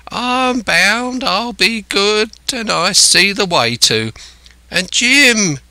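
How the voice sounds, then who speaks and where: A storybook castaway pirate, half crazed by solitude. The voice is high, bright and drawn out, swinging about as it rambles in rustic seafaring speech, sly, childlike and wheedling. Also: male voice, expressive, extremely slow, no echo, full character voice. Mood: rambling, pious.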